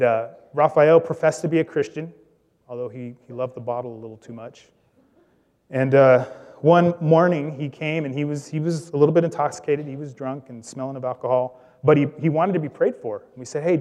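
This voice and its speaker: A man preaching a sermon, telling a story in a relaxed conversational way with small jokes. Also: mid-range voice. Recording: reverberant hall.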